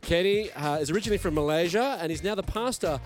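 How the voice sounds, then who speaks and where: A man's voice: high voice, fast talker, soft background music. A man hosting a live interview show outdoors at a festival, upbeat and presenter-like. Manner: upbeat, presenter-style introductions. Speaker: show host.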